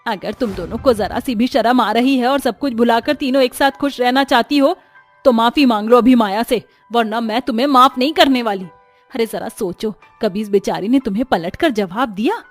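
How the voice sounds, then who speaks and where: A stern, proud mother-in-law from an animated story, scolding with a sharp tongue, as a woman voices her. She speaks low and quietly for her kind, with a faint music bed behind. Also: normal speed, lightly acted.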